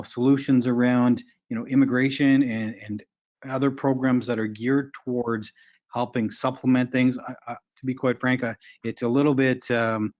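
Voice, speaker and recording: A man's voice: medium pitch, a little mellow. Earnest man in his forties, dull lo-fi audio. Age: 40s. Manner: earnest, frank, with many fillers and restarts. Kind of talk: conference panel remarks.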